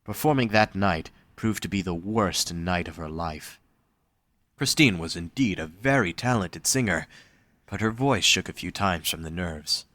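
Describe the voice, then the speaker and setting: Male narrator for scripted fiction. Low-pitched, dry recording. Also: lively intonation, measured pace, a bit bright, dry recording.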